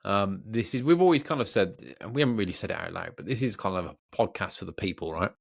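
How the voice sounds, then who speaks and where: A man with a fairly low voice and a close, dry sound co-hosts a podcast. He chats casually, teasing and joking in an animated way. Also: fast talker, creaky, no echo. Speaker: podcast co-host. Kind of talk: casual banter between co-hosts.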